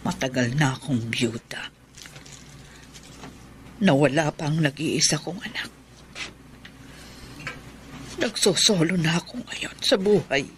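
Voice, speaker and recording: Radio horror villain: a widowed old sorceress, menacing and cold, raised pitch, hissing noise in the recording. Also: male voice, takes it slowly, long pauses, fairly bright tone. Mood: sinister. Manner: menacing, threatening, sinister. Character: vengeful widowed old woman, a bee-wielding sorceress. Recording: noisy radio drama track. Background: buzzing bees.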